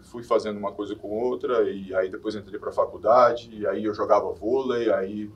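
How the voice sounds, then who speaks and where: A man in his forties from Minas Gerais talks as a podcast guest in a low, easygoing voice. He laughs things off and lets his sentences trail off softly. He sits back from the microphone, so he sounds a little distant. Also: fairly even intonation, normal speed, highs cut off. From Minas Gerais, Brazil. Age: 40s. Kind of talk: casual interview chat. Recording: distant mic.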